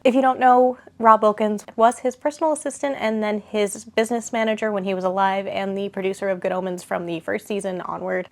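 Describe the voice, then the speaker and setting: A young woman talks in a casual, rambling way, sounding stuffed up from a cold. Her pitch sits in an ordinary middle range, and she keeps things chatty with small apologies as she goes. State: stuffy, sick. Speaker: cosplayer and pop culture content creator. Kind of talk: casual video commentary.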